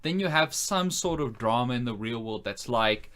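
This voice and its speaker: A man's voice: medium pitch, expressive, normal speed, fairly bright tone. A man co-hosting a podcast, speaking emphatically in casual film chat and swearing freely. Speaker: podcast co-host. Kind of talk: casual panel chat about films.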